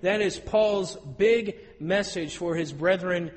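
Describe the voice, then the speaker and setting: Thirtysomething guy preaching, pretty high voice, tells stories animated, big echoey auditorium. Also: slow. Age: 30s. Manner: storytelling, animated with rising emphasis. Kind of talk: sermon.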